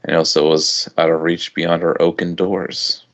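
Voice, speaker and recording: A man reading a first-person story aloud informally, halting now and then with loose asides. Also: deep voice, little pitch movement. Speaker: amateur fan fiction reader. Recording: dull lo-fi home recording.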